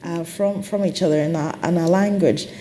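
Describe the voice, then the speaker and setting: A low-pitched English woman talks through her thoughts in an easy conversational way. She hesitates and restarts as she goes. Faint noise sits under her voice. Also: normal speed, dark tone. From England. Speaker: conversation partner discussing languages. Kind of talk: casual chat.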